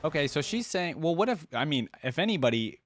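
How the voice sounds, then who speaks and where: A man's voice: fairly high pitch, sing-song, brisk, no echo, studio quality, faint music behind. A man hosting a reaction video with casual, conversational commentary, thinking aloud as he goes.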